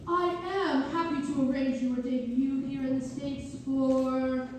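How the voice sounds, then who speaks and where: A woman's voice: high-pitched, steady tone, unhurried, a little mellow. A woman playing a formal, politely unyielding musical manager in a theatrical read-through. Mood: polite, firm. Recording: distant mic in echoing hall, faint noise.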